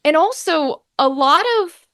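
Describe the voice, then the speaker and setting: A woman's voice: sits high, a little slow, sharp tone, no echo, studio quality. A woman speaking casually as an interview guest, talking in an emphatic, informal way as a voice actor and game master.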